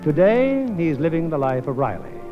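Old time radio host guy, formal n theatrical, lighter higher voice, dull sound. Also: sing-song, normal speed. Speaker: radio show host and producer. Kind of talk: formal 1950s radio hosting, scripted narration. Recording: muffled old radio broadcast, music.

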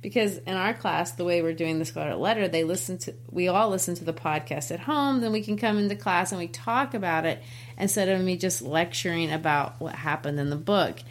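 A woman's voice: on the low side, a little fast, slight background noise. A woman who teaches and co-hosts a book podcast, talking in a chatty way and laughing easily. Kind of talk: casual book discussion.

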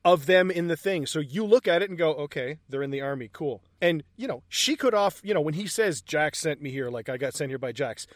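A man's voice: on the high side, lively intonation, a little fast, hardly any pauses, no echo. A man co-hosting a casual movie review chat, walking through scenes and swearing casually. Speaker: movie review podcast co-host.

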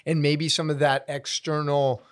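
A man's voice: a little high, a little slow, dry recording, clean studio sound. Laid-back slangy male podcast host. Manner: relaxed slangy interviewer, steady mid voice. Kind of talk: casual interview chat.

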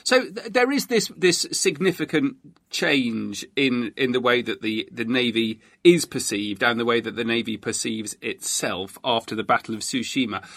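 A man's voice: mid-range voice, fairly bright tone, no room sound, clean studio sound. A man hosting a history podcast, putting questions to a guest in a conversational way. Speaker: podcast host, interviewer. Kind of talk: conversational interviewing.

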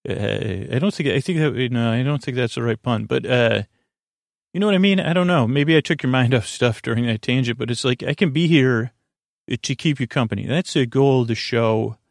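A man hosting a bedtime podcast, talking in a meandering, halting way with stammers and tangents, meant to soothe. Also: medium pitch, vocal fry, no room sound, studio quality. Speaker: podcast host.

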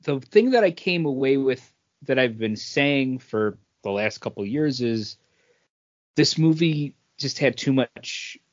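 A man co-hosts a casual film review podcast, talking things through conversationally. His medium-pitched voice sits in a dry recording with a slightly dull, lo-fi sound. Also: expressive, a little slow, dry recording. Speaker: podcast co-host. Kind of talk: casual movie review chat.

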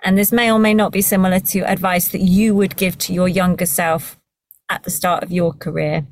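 A woman's voice: fairly low pitch, flat delivery, normal speed, no echo. A woman hosting a casual interview, feeling her way through questions and rephrasing as she goes. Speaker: interviewer, podcast host.

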